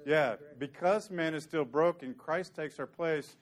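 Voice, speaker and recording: A man's voice: on the high side, a little slow. A man teaching a sermon, earnest and conversational, walking through scripture and sometimes joking dryly with the congregation. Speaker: preacher.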